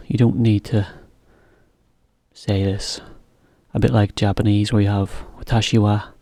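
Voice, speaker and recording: A man teaching a beginner English lesson, explaining slowly in plain words with example sentences. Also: lowish voice, warm tone, no echo.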